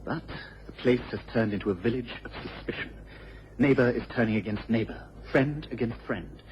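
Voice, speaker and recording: An actor playing an earnest, baffled English police investigator in a radio drama, speaking haltingly and apologetically. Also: male voice, mid-range voice, natural voice. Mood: troubled. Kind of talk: British radio drama acting. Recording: dull old radio recording, clear noise.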